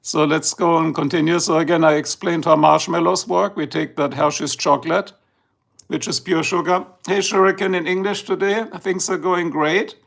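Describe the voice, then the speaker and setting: A man's voice: fairly high pitch, steady tone, normal speed, vocal fry, fairly bright tone. A man from Germany with a German accent, casually chatting on a livestream while showing photos, rambling and a bit drowsy. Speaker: livestream host. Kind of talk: casual live-stream chat. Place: indoors, filming a tablet slideshow.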